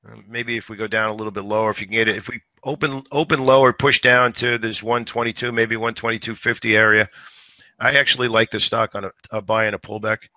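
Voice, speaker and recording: A man hosting a stock trading show, giving live market commentary in a conversational way, a little hoarse today and repeating words as he thinks. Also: lowish voice, steady tone, fast talker, pushed and bright, dry recording. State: slightly hoarse.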